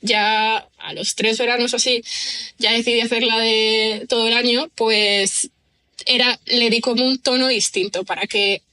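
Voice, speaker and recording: A chatty woman from talks easily as a podcast guest. Her voice sits a touch high and rings bright. She strings thoughts together with fillers and tag questions. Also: steady tone, moderate pace. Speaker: guest writer. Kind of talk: relaxed podcast conversation.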